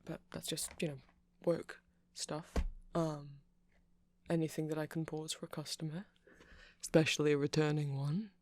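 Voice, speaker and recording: A man playing a shy, nerdy vampire shop clerk in an ASMR roleplay, rambling gently and hesitantly to the listener. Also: fairly high pitch, level tone, normal speed, lightly acted. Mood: flustered, eager. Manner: hesitant, awkward, apologetic, stammering, gentle. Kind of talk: ASMR roleplay, soft casual monologue to listener.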